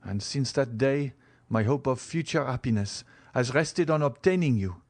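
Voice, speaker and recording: A man reading an audiobook, voicing a passionate young man who speaks in an earnest, measured and bitter way. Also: medium pitch, lively intonation, darkish tone, pitched above the performer's own voice.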